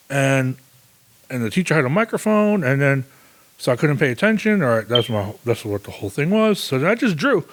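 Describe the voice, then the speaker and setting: A man in his thirties hosting a casual solo podcast, rambling and swearing with lots of fillers and self-mocking asides. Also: medium pitch. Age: 30s. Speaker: podcast host.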